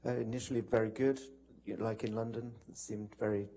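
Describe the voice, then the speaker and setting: A man giving testimony as a witness in a courtroom, hesitant and measured, with halting answers. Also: on the low side, level tone, mellow. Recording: noisy courtroom broadcast feed.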